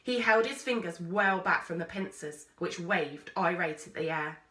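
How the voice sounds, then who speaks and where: A woman reading a children's story aloud with expressive narration. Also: lowish voice, normal speed. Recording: roomy, echoey sound.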